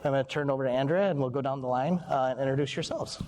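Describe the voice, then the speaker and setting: A man's voice: medium pitch, rapid-fire, darkish tone. A man moderating a panel discussion in a casual, conversational way. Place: event room before an audience. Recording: reverberant hall, faint background noise.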